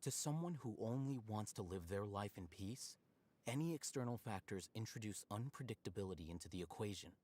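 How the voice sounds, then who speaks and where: A man playing an aloof, analytical young scholar in a video game, speaking in a measured, matter-of-fact and condescending way. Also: fairly low pitch, lively intonation, a little mellow. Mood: cool, analytical.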